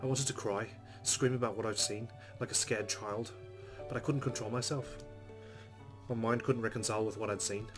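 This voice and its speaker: Male let's play host, lowish pitch, narrating visual novel text with casual asides over game music. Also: fairly even intonation, normal speed. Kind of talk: casual game commentary and reading story text aloud.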